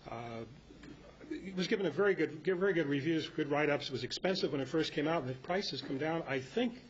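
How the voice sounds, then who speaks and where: An American man leading an informal club talk, rambling and anecdotal as he presents to the group. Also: fairly quick. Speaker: meeting presenter. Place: club meeting room. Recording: dull lo-fi meeting recording with rumbly noise.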